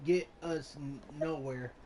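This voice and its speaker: A woman giving casual, halting, amused gameplay commentary as a streamer. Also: sits low, steady tone, mellow, a little hiss or hum. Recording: game music behind the voice.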